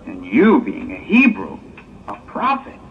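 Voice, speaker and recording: An actor playing a talkative, inquisitive Hebrew ship agent with emphatic, persuasive patter in an old audio drama. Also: male voice, fairly low pitch, wildly swooping pitch, unhurried, creaky, full character voice. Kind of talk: 1960s audio drama acting. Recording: muffled, noisy old phone-like audio-drama tape.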